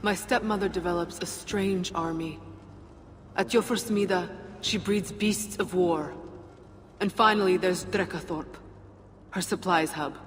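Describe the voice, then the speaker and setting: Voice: mid-range voice, fairly even intonation, measured pace, long pauses, natural voice. A performer playing a cool, dry female fire nymph in a fantasy video game, speaking formally in clipped, wry phrases. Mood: cool, serious. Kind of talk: video game cutscene acting. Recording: long reverb, some background noise.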